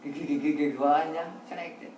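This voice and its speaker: A man's voice: fairly high pitch, fairly even intonation, fast, noisy background. A man with a West Javanese accent joking and telling little stories in a casual group chat, quoting phrases as he goes. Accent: Sundanese-speaking West Java. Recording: echoey, distant mic, loud crowd chatter.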